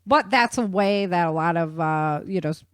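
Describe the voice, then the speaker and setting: A woman's voice: fairly low pitch, normal speed, no room sound, clean studio sound. A woman hosting a podcast, explaining things in an informal, folksy and enthusiastic way as casual chat. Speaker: podcast host.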